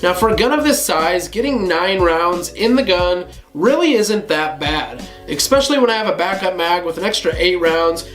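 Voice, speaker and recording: A man hosting a casual gun review vlog, slightly hoarse from a cold, talking to viewers in a relaxed conversational way. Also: sits high, moderate pace, few pauses, roomy sound, faint music behind. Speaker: gun channel host.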